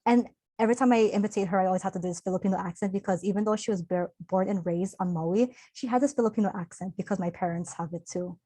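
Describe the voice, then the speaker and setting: A woman's voice: mid-range voice, quick pace, mellow, no echo. Young woman, earnest, conversational, video call audio, dry sound. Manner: earnest, open, conversational with fillers. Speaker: workshop presenter. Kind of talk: online workshop talk.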